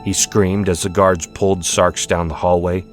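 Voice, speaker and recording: Guy reading a dramatic audiobook, lowish voice, bit of music under it. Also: little pitch movement, moderate pace. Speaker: narrator. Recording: music behind the voice. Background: background music.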